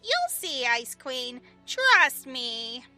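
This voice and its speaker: A woman voicing a hyper, goofy young woman in a fan audio drama, bouncy and excitable. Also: high-pitched, very slow, no room sound, faint music behind, heavily acted. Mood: excited.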